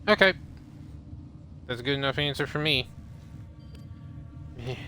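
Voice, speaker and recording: Loud steady noise sits behind a man live streaming a game. He talks slowly and casually, muttering his thoughts as he plays. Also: mid-range voice. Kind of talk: casual gameplay commentary, thinking aloud.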